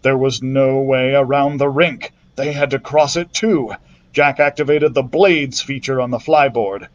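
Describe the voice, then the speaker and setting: A male librarian reading a children's adventure book aloud in a steady storytelling manner, with the odd slip. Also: mid-range voice, measured pace, lo-fi sound. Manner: steady storytelling narration with small slips.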